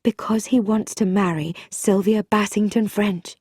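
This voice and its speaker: Lady reader doing a terrified young wife, high voice, hesitant, desperate, audiobook mystery. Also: steady tone, mellow, breathy edge, dry recording, clean studio sound. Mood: anxious, exhausted. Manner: hesitant, halting, pleading.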